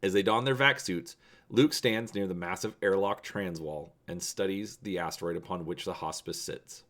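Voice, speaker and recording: A man presenting as a channel host and narrating an adventure story with expressive, theatrical emphasis. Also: deep voice, moderate pace. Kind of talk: dramatic storybook reading and presenting.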